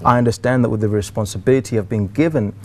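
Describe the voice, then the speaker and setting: Lowish-voiced young British man, emphatic speaker. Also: fast talker. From United Kingdom. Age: young adult. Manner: emphatic, formal, oratorical. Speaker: TV presenter and motivational speaker, guest. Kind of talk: motivational interview talk. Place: TV studio.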